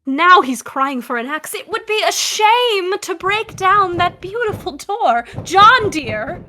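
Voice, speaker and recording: An actress playing a confined young wife sliding into obsessive madness, confiding in hushed and singsong tones in a found-footage audio drama. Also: female voice, extremely high pitch, very animated, slow, heavily acted. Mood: taunting singsong. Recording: noisy lost-tape recording.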